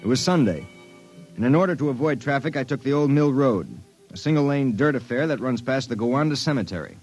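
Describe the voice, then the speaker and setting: An actor playing a wisecracking young private detective who also narrates, in a 1950s radio drama. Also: male voice, mid-range voice, lively intonation, fairly quick, a little mellow, soft background music, natural voice. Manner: wisecracking, hard-boiled.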